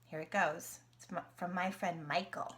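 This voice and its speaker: A woman's voice: fairly low pitch, steady tone, normal speed, fairly bright tone. A woman from Canada reading a picture book aloud to a young child, asking questions and gasping at the pictures. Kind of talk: children's story reading with sing-along.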